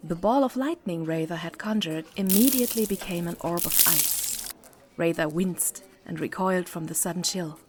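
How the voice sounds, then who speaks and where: Low-voiced woman narrator, noisy recording. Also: normal speed. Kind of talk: scripted fantasy narration.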